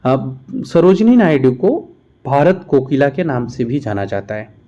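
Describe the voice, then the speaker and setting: A man's voice: mid-range voice, very animated, measured pace, warm tone. A man teaching an exam-prep lesson, reading out questions and explaining the answers. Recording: dull, muffled lo-fi sound.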